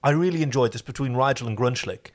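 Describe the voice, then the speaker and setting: Mid-pitched man hosting a casual podcast. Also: quick pace. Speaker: podcast host. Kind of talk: casual TV episode recap chat.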